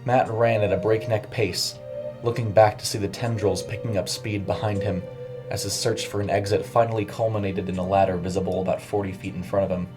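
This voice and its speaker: A man's voice: lowish voice, level tone, fairly quick. A man narrating a scripted horror short story in a dramatic storytelling style. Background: music under the voice.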